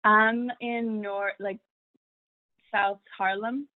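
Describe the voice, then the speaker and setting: A woman chatting on a video call, relaxed and laughing often. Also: medium pitch, very slow. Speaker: meeting participant. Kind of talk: casual video call chat. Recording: dry, tinny phone-like audio.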